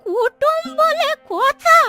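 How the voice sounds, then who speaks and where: A woman's voice: very high-pitched, animated, slow, sharp tone, soft background music, full character voice. A woman voicing a poor, worried old village grandmother in a cartoon story, with a plaintive, complaining way of talking. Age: older. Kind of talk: Bengali cartoon story voice acting.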